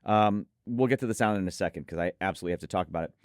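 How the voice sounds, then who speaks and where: A man's voice: low voice, racing, dry recording, studio quality. A man co-hosting a product preview, speaking emphatically and effusively and repeating points for emphasis. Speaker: audio gear reviewer and co-host. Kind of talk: enthusiastic product preview talk.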